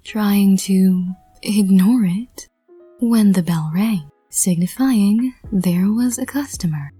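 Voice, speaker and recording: A woman narrates softly in a breathy, whispery voice with a mellow tone. She takes her time, and faint music plays behind her. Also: mid-range voice, slow, dry recording. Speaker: narrator and channel host. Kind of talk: soft scripted roleplay narration.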